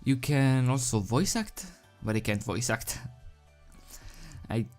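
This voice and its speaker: Slow, halting host over music. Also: medium pitch, big pitch swings, darkish tone. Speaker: video host and fan fiction reader. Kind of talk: casual rambling chat, then storybook narration. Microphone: desk microphone without pop filter. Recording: background music under the voice.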